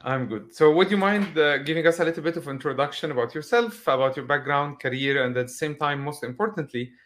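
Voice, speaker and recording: A man's voice: on the high side, animated, a little fast, fairly bright tone. A man moderating an online session, giving formal, welcoming introductions and questions to his guest. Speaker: host, moderator. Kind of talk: hosting an online panel session.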